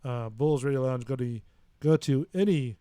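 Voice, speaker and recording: A man's voice: mid-range voice, moderate pace, warm tone, smooth, no room sound. A man hosting a casual talk show, rambling and hesitant with lots of "uh" and "sort of". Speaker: host.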